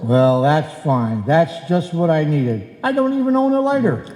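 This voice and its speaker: A man's voice: fairly high pitch, big pitch swings, unhurried, darkish tone. Man acting an exasperated husband, echoey hall. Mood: indignant. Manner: argumentative, exasperated, wisecracking. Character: grumbling, exasperated, henpecked husband in a bickering comedy. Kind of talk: old-time radio comedy acting before a live audience. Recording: echoey live hall, roomy sound.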